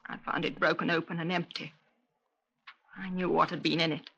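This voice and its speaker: A woman's voice: deep voice, level tone, moderate pace, vocal fry, sharp tone, breathy edge, heavily acted. An actress playing a grieving, bitter mother in a 1950s radio drama. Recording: dull vintage radio recording.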